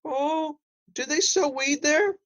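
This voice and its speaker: A man's voice: takes it slowly, a bit bright, full character voice. A man voicing a small, naive but scheming cartoonish crab in improvised comedy, hesitant, pleading and rambling. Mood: pleading, sly. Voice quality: high-pitched, small cartoonish voice. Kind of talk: improvised comedy role-play.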